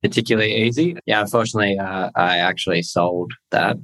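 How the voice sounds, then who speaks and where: A man, answering interview questions in a measured, hesitant way with many fillers. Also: on the low side, a bit bright. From Australia. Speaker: guest, crypto tax software founder. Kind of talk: casual interview.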